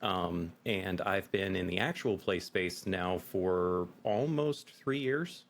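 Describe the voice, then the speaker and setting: A man's voice: low voice, slow, creaky. A middle-aged man with an American accent, talking casually as a guest in an interview. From United States. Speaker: podcast guest, game master.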